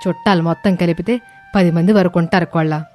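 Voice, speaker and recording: A woman's voice: fairly low pitch, steady tone, quick pace, dark tone, soft background music, lightly acted. A woman voicing an irritable older mother-in-law in a story, grumbling with a scolding, sarcastic manner. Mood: puzzled, irritated. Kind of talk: animated moral story dialogue.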